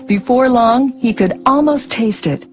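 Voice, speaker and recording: A woman giving scripted storybook narration in an expressive way, with music behind her. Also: fairly high pitch, a little slow.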